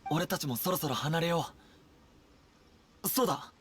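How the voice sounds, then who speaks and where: An actor playing a young army general in an anime game, earnest, concerned and commanding, with a husky voice. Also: male voice, on the high side, a bit bright, lightly acted. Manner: brisk, commanding, serious. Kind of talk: Japanese anime game voice acting.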